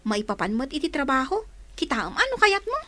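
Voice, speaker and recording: A woman's voice: sits high, big pitch swings, fairly quick. A woman acting as a fretful younger sister in a dramatised audio story. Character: younger sister, fretful about household shortages.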